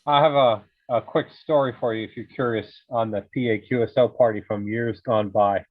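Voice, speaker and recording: A man's voice: medium pitch, fairly even intonation, normal speed, no echo. A man telling casual anecdotes as a member of an amateur radio club in an online meeting. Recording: narrow tinny call audio.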